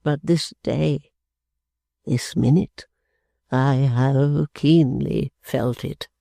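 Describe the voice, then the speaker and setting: A woman reader voicing a proud, self-pitying older father who talks in a slow, halting, mournfully dignified way. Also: extremely low pitch, steady tone, creaky, mellow, dry recording, studio quality, heavily acted. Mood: aggrieved.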